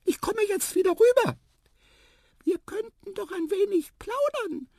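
A performer voicing a tiny, cheeky, curious talking mouse with a piping squeak, lively and chirpy, in a children's story. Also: a little slow, creaky, no room sound, studio quality, exaggerated voice. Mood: eager, excited.

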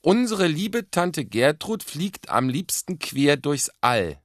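A man's voice: fairly high pitch, sing-song, slow, a bit bright, no echo, clean studio sound, pitched above the performer's own voice, exaggerated voice. A man voicing an anxious young boy in a children's storybook, reciting with a hesitant, effortful manner. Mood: loud, deliberate reciting. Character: nervous young boy, anxious but imaginative, reciting a poem.

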